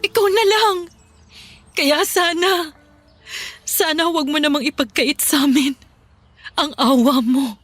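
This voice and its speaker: An actress playing a desperate, remorseful middle-aged mother who pleads haltingly in a radio drama. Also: sits high, no room sound. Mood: desperate begging. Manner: pleading, halting with repeated phrases. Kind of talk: Filipino radio drama acting.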